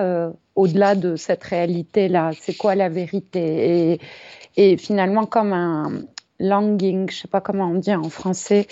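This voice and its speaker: A middle-aged woman from France, a yoga teacher, answering interview questions in a hesitant, reflective way with many pauses and fillers, over a remote recording. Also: medium pitch, dark tone, no echo. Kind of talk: casual interview chat. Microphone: remote call recording. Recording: dull, dry remote recording.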